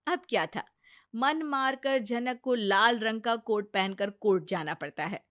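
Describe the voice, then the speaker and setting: A woman's voice: a little high, a little slow, fairly bright tone, dry recording, clean studio sound. A woman narrator reading a scripted Hindi story in a storytelling style.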